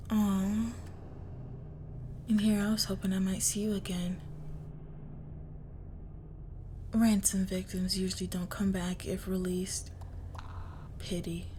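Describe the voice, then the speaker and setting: A cool crime heiress, acted by a woman, speaks very slowly in an even, controlled tone. She is teasing and condescending, with quiet menace and breathy asides, in an intimate audio roleplay. There is some noise behind the voice. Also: medium pitch, lightly acted. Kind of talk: scripted ASMR-style roleplay monologue.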